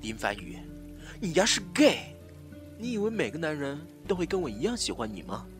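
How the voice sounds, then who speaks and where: Man acting an obsessive jilted lover, wildly swinging pitch. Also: sing-song, moderate pace, faint music behind. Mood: bitter, hysterical. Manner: theatrical, swinging from soft coaxing to taunting. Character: obsessive, scheming jilted male lover, pleading then menacing. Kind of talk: Chinese audio drama acting.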